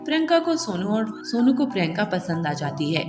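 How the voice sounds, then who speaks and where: A woman narrates a cartoon story in a steady, plain way at a middle pitch. Her voice sits in a roomy, reverberant space, and music plays clearly behind it. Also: a little fast. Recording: echoey room with long reverb and music.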